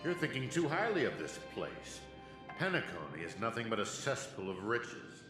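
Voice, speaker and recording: A smug villainous professor lectures with a low voice that swoops animatedly between notes, condescending and theatrical. The game dialogue sits in a roomy space with music playing behind it. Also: male voice, very animated, moderate pace. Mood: smug lecturing. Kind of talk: video game voice acting.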